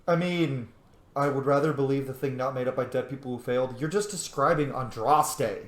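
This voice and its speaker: A man's voice: medium pitch, normal speed. A man giving casual playthrough commentary as a game streamer, informal, sweary and reactive. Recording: echoey room.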